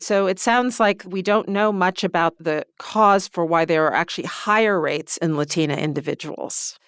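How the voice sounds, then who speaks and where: A woman's voice: on the low side, normal speed, no room sound, studio quality. A woman hosting an interview podcast, asking structured questions and recapping the answers plainly. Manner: clear, structured, recapping what was said.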